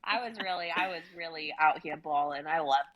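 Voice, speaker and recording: A woman's voice: sits low, fairly quick, dull audio, a crowd in the background. A young woman in casual chat, playful and slangy. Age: young adult. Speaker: show regular.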